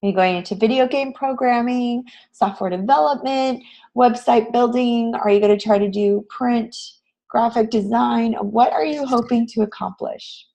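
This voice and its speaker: A woman with a raspy voice, hoarse from a cold, teaching a live online class in an encouraging, conversational way. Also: a little high, moderate pace, dark tone. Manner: encouraging, addresses students with frequent questions. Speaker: online graphic design instructor. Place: online class session, screen shared.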